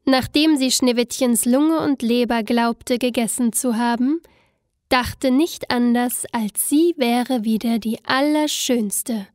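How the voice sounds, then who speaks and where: A woman narrates a fairy tale in a high voice at a slow, careful pace. Her phrasing is expressive and scripted, closely recorded with little room sound. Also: no room sound, studio quality.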